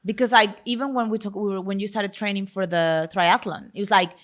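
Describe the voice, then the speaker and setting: A woman's voice: mid-range voice, fairly even intonation, a little fast, fairly bright tone. A woman in her thirties hosting a podcast, speaking in an encouraging coaching way in casual chat. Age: 30s. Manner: encouraging, coaching tone, plain-spoken. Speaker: podcast host and self-worth coach.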